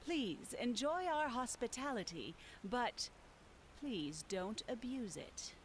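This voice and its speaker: A woman's voice: a little high, expressive, takes it slowly, a little hiss or hum. A woman playing a courteous, evasive housekeeper of a mysterious fantasy manor, formal and primly polite in a game. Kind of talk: scripted fantasy game dialogue.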